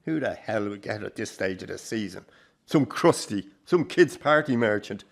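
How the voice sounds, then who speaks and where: A man's voice: lowish voice, lively intonation, normal speed, gravelly. An actor playing an older Irish circus grandfather in a radio drama, plain-spoken and rueful, with a salty turn and a fond heart. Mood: gruff, doubtful. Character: warm, disillusioned old Irish circus grandfather. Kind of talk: naturalistic radio drama acting.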